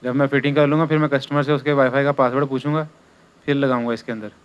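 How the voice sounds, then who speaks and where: A man's voice: mid-range voice, level tone, fast talker, clean tone, dull audio. A man giving an informal hands-on tutorial as an installer, explaining step by step and repeating his instructions.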